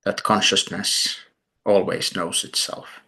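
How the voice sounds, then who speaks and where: A man guides a meditation very slowly, breaking his sentences into short, deliberate phrases. His low voice carries a creaky fry, and long pauses sit between his words. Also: level tone, bright, forceful tone, rough recording. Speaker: meditation teacher.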